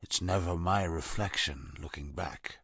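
An actor playing a bitter, disfigured male convict in a video game, talking in a low, brooding, sardonic way with a gravelly, husky voice. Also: a little slow, fairly bright tone, no echo, full character voice. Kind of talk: game character voice lines. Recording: dry studio recording.

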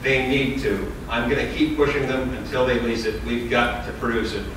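A man's voice: mid-range voice, steady tone, moderate pace. A man in his thirties answering a town hall question, emphatic and listing points in runs, recorded from a far camera in a large hall. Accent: American. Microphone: far camera, no mic seen. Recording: echoey hall from far off, hiss.

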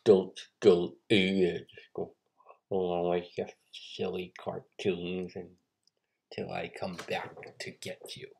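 A man's voice: deep voice, monotone, slow pace, vocal fry, a little mellow. A young man talking casually to a camera in a skit, in his bedroom. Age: young adult. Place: small bedroom, indoors. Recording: noisy home recording with clicks.

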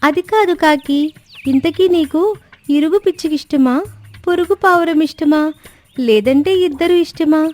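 A woman's voice: sits high, unhurried, pauses often, darkish tone. A woman voicing a nosy, scheming parrot in a children's cartoon story, coaxing and questioning with a show of sympathy. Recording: music bed under the voice.

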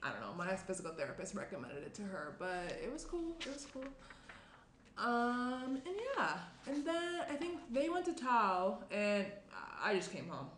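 An actress plays an anxious young woman in a relationship drama, guarded and hesitant. Her pitch moves around a lot as she dodges and trails off. The room is audible, with clear noise behind her. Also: very animated, moderate pace, fairly bright tone. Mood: evasive, guilty. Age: young adult. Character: anxious, guilty young woman hiding something from fiancé. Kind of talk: screenplay table read. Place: small room at a table. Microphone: shared tabletop microphone. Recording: roomy indoor sound, clear background noise.

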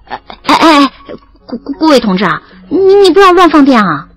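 An actress playing a flustered, playful young student in love in an audio drama, tending to stammer when awkward. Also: female voice, high voice, very animated, a little fast, dry recording. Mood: embarrassed, teasing. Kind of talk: first-person audio drama narration and dialogue.

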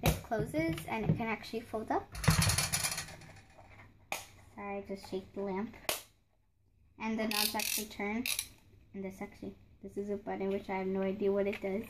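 A woman's voice: medium pitch, fairly even intonation, normal speed, long pauses, heavy background noise. A young girl casually showing off a doll house her mom built, rambling with fillers and restarts, filmed close on a phone at home. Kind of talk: casual show-and-tell narration. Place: home room beside a handmade doll house. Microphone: phone camera held close, hands in frame.

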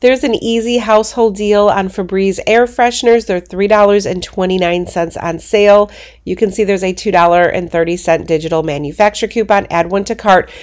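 A congested woman hosting a coupon channel, narrating a screen walkthrough of shopping deals in a brisk, practical way. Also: medium pitch, darkish tone. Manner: explains steps in a brisk, practical way.

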